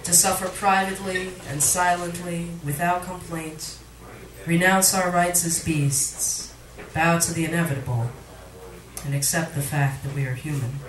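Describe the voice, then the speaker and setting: A woman's voice: lowish voice, fairly even intonation, measured pace. Woman reading poetry in an echoey room. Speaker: poet, featured reader. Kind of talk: poetry reading, performed recitation. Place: literary cafe, indoors, live reading. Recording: echoey room recording from far off, audience noise. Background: cafe audience sounds.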